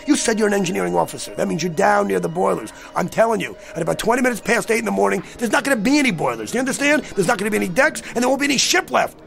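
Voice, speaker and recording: A cynical American man desperate to be believed about coming disaster. His high voice is heavily creaky and swings widely. He is blunt, slangy and insistent, in dramatic screen style. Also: animated, racing, hardly any pauses, fairly bright tone, faint music behind, heavily acted. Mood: urgent, grave.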